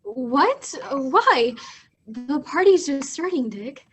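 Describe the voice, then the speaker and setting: A woman's voice: sits high, sing-song, slow, soft background music, exaggerated voice. A woman playing a bossy, jolly married hostess and mother in an amateur radio play. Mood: insistent. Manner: commanding, matchmaking.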